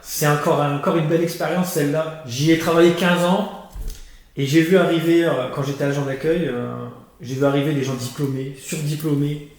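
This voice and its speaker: A man's voice: fairly high pitch, moderate pace. Male guest, 30s, conversational storyteller, echoey room. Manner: animated storytelling with many restarts and fillers. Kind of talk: conversational life-story interview.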